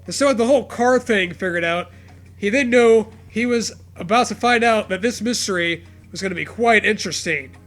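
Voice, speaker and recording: A man co-hosting a comedic fan fiction reading, profane, sarcastic and excitable, with laughter often in his delivery. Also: high voice, brisk, soft background music. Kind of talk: comedic read-aloud with riffing.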